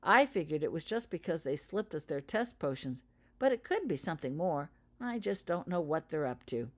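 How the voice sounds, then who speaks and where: A woman's voice: low-pitched, sing-song, moderate pace. A woman voicing a young schoolgirl who is suspicious, downcast and puzzled, in a storybook reading. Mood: dejected.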